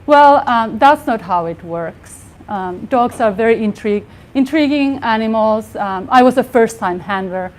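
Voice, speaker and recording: Woman, 40s, soft voice, slightly high, earnest workshop presenter. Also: expressive, a little slow. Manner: earnest, many fillers and restarts. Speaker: workshop speaker. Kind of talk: conference talk. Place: live workshop room with audience.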